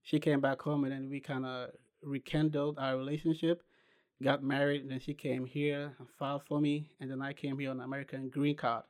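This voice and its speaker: A young man from Ghana who founded a clothing brand, telling his story unhurriedly as a podcast guest, with pauses and restarts. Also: medium pitch, little pitch movement. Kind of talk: casual interview chat.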